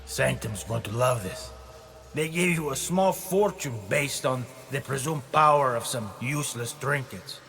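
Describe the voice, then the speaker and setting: Sardonic male thug for a game: cruel, contemptuous, heavy rasping fry, medium pitch. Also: a little slow, a bit bright, soft background music. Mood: scornful, mocking. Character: sneering, cruel, mocking Templar henchman. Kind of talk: video game dramatic acting.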